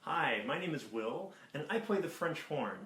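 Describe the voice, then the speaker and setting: A man who plays French horn, clearly explaining his instrument to schoolchildren. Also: a little high, lively intonation, moderate pace. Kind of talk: introducing an instrument to children. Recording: roomy.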